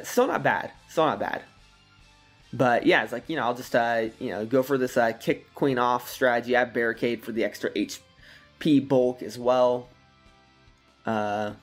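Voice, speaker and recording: A slightly hoarse man giving relaxed, rambling gameplay commentary, full of fillers and soft chuckles. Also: medium pitch. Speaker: gaming commentator.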